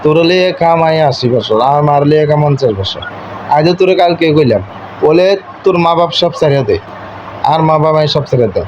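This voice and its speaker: A man's voice: on the high side, animated, normal speed, darkish tone. A young man speaking an eastern Bengali regional dialect, lecturing his wife in an informal domestic argument, insistent, repetitive and domineering. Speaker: husband on a call with his wife. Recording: muffled call recording with faint noise.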